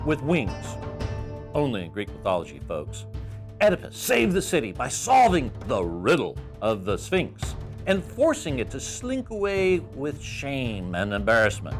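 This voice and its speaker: A man narrating as a theatrical storyteller, playful, with dramatic pauses and comic asides. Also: on the high side, fairly bright tone. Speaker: narrator introducing the play. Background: music.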